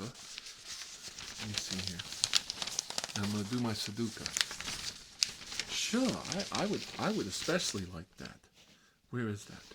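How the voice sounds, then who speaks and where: A man's voice: on the low side, very noisy. A man playing a put-upon, self-deprecating middle-aged uncle in a rambling, folksy ASMR comedy role-play.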